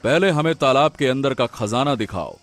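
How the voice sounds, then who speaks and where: A man's voice: medium pitch, lively intonation, normal speed, fairly bright tone, faint music behind. A man voicing an arrogant, power-hungry cartoon king with an imperious, theatrical delivery. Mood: imperious, greedy. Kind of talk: cartoon story voice acting.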